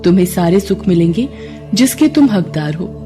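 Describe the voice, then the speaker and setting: A woman's voice: lowish voice, animated, normal speed, dark tone, soft background music, lightly acted. A woman voicing a serene, kindly goddess who speaks formally in a devotional storybook tale. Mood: gracious, solemn. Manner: formal, solemn, kindly pronouncements. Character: benevolent goddess, serene and gracious, granting blessings.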